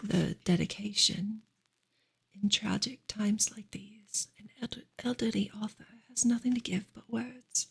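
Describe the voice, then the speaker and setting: A woman reading a book aloud for ASMR in a soft, breathy, unhurried whisper. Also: medium pitch, fairly even intonation. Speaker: ASMR reader and streamer.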